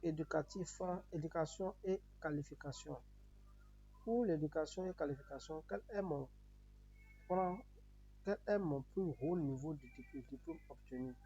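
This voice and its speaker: A man with a Beninese accent and Yoruba as his first language presents a tutorial one step at a time. His voice is slightly high and soft-edged in tone, with a dark, relaxed colour. He repeats his instructions in simple patterns, over a faint hum in the recording. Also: measured pace. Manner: repetitive, instructional phrasing. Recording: muffled audio with noticeable background hum.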